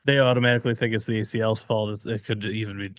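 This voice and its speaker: A man's voice: fairly low pitch, level tone, very fast, no echo, studio quality. A man co-hosting a podcast, chatting in a casual, conversational way. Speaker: podcast co-host. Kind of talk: casual chat.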